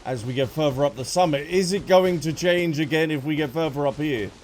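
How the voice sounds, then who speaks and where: A man's voice: a little high, brisk, darkish tone, a little hiss or hum. A man giving casual playthrough commentary, chatty and full of exclamations and reactions. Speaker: gaming content creator. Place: game audio and music behind voice.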